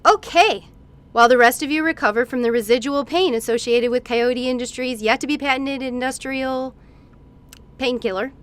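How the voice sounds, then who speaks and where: Callous lady scientist played by a woman, fairly high voice, breezy theatrical cheer, sci-fi radio comedy. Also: close to an everyday voice. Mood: brisk, chirpy. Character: breezy, callous female lab scientist and presenter.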